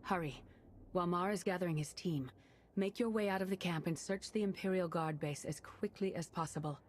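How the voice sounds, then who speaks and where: An actress playing a calm, perceptive woman Sith agent who speaks in a measured way. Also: on the low side, slight background noise, natural voice. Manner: calm, measured, quietly urgent.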